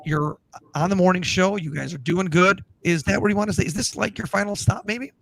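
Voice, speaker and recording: Male interviewer, somewhat high pitch, gravelly, halting questions. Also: fairly bright tone. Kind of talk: casual interview chat.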